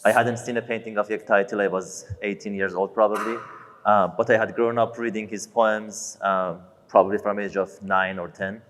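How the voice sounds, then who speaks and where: A man from Iran speaks on an art panel with a fairly low voice, stopping often to search for words. The talk echoes in a big gallery space with long reverb. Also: fairly even intonation, normal speed, a bit bright. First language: Persian. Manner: hesitant, many ums, restarts. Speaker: artist on a panel. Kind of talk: panel discussion.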